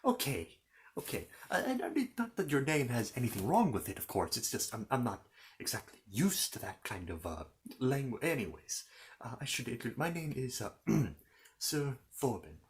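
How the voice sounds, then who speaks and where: Casting: male performer as a courteous, bookish fantasy knight for ASMR roleplay, mid-pitched, very lively intonation, stammering and apologetic. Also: close to an everyday voice. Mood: flustered, polite. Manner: halting, stammering, apologetic, chatty. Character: polite, bumbling, bookish knight from a fantasy kingdom. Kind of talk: improvised ASMR character roleplay.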